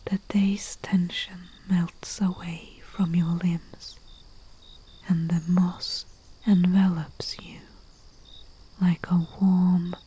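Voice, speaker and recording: A humble herbalist, acted by a woman, whispers soothingly in an ASMR roleplay. The delivery is extremely slow and very even, with long pauses. Faint night crickets chirp behind the soft, breathy voice. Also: lowish voice, slight background noise. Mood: hushed, soothing. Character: humble female herbalist, soothing and deferential servant to nobility. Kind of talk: soft-spoken ASMR roleplay, guided relaxation. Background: crickets and night insect ambience.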